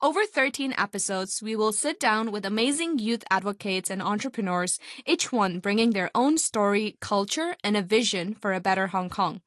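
She is a woman radio host with a medium voice who introduces the show brightly and then eases into relaxed questions. The sound is close and dry, with no room around her. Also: mid-range voice, normal speed, hardly any pauses, no room sound. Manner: upbeat, scripted introductions. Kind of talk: radio show hosting and interviewing.

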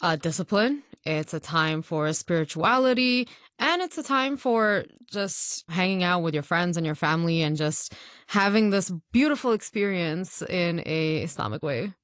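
Female radio guest, lower voice, dry close sound. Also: lively intonation, normal speed, fairly bright tone, dry recording. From Gujarat, Pakistan. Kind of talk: radio interview.

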